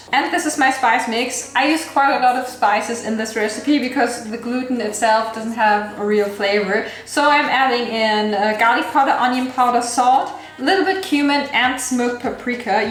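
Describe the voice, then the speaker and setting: A woman's voice: fairly high pitch, reverberant. A woman from Germany with a German accent, walking through a recipe in a chatty way and laughing at her own slips. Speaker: cooking channel host. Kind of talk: recipe walkthrough vlog.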